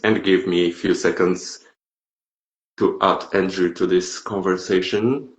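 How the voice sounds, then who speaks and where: A man hosting a live stream who is losing his voice, talking in a halting way with repeated words and restarts. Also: low voice, level tone. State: losing his voice, sore throat. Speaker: live stream host, meme creator. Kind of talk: casual live stream chat. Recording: echoey room.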